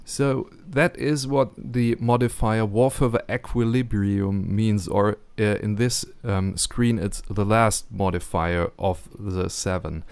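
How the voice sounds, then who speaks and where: A man's voice: lowish voice, fairly even intonation, slow, few pauses, a little mellow, clean tone, dry recording. A man with a German accent, not a native English speaker, narrating a strategy game tutorial carefully, with frequent ums and restarts.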